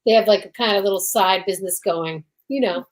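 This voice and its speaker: A woman's voice: mid-range voice, brisk. A woman co-hosting a comedy livestream, bantering casually and playfully, often repeating phrases for comic effect. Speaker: co-host of a comedy radio show livestream.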